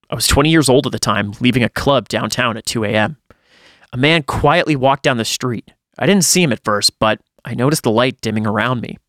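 A man's voice: medium pitch, a bit bright, dry recording, clean studio sound. A man reading a first-person horror story aloud in a dramatic storytelling style, as a podcast host would.

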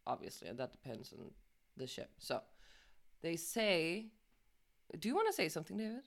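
A woman's voice: on the low side, huge pitch swings, moderate pace. A woman co-hosting a podcast, reading news casually, stumbling over words, swearing and laughing often. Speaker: podcast co-host.

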